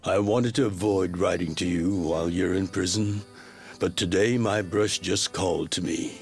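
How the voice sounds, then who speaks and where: An actor playing an aging male yakuza patriarch reading a heartfelt letter in a gentle manner, in a video game dub. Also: sits low, steady tone, normal speed, gravelly, soft background music. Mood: fond.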